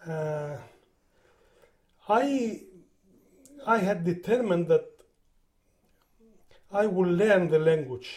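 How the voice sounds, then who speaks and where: A man's voice: sits high, extremely slow, pauses often, a little mellow. An older man from Greece with a Greek accent, speaking English as a second language, recalling his working life in an interview, often repeating words as he searches for them. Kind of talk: oral history interview.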